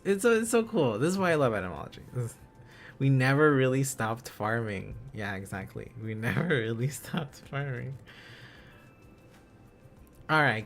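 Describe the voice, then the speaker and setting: A man's voice: mid-range voice, fairly bright tone. A man hosting a livestream, chatting casually in an enthusiastic, rambling, giggly manner. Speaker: livestream host. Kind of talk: casual livestream chat while learning Korean script.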